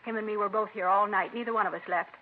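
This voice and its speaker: A woman's voice: mid-range voice, fairly even intonation, fast, natural voice. A woman playing a bitter, lovelorn murder suspect in a 1950s radio crime drama. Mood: guarded, defensive. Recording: tinny, hissy vintage radio recording.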